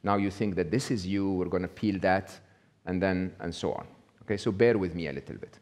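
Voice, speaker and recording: A man's voice: low-pitched, a little fast, darkish tone. A middle-aged man giving a live talk, conversational, checking in with the audience with a frequent "okay?". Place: small indoor venue with brick wall.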